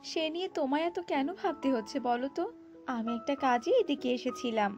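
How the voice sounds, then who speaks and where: A cold, proud fairy queen from a cartoon acted by a woman, pitched clearly high. She is haughty and commanding and quick to defend herself, over background music. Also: expressive, lo-fi sound. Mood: evasive, firm. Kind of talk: cartoon voice acting.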